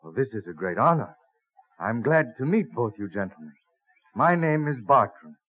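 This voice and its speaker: A man's voice: mid-range voice, very animated, normal speed, slightly rough. A courtly colonial botanist in a radio play. He talks amiably with a very varied melody, through a narrow, tinny vintage broadcast. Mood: courtly, admiring. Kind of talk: old-time radio drama acting. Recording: muffled old radio broadcast.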